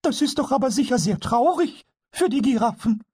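A man voicing a small, mischievous kobold in a storybook reading, excitable and childlike. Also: very high-pitched, a little slow, husky, no room sound, studio quality, pitched above the performer's own voice, full character voice. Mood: puzzled. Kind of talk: storybook character voice.

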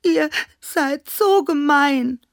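A woman voicing a tiny, whiny young male teddy bear in a storybook, squeaky and sobbing. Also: extremely slow, no echo, studio quality, exaggerated voice. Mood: hurt, tearful. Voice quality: squeaky, small.

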